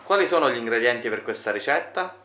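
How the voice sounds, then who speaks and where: A man's voice: mid-range voice, expressive, fast talker, pushed and bright. A man off camera briefly asking a home cook questions. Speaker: interviewer.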